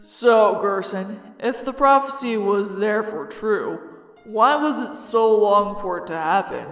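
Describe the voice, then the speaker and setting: Puzzled pupil acted by a man, high-pitched and slow, questioning, reverberant hall, fan cartoon dub. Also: lively intonation, fairly bright tone, full character voice. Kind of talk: character voice in fan comic dub. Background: music.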